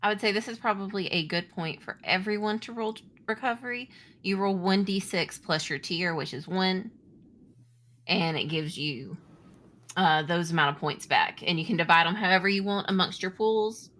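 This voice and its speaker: Bright-voiced woman running a game. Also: medium pitch, moderate pace. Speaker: game master. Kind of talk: explaining tabletop game rules, casual.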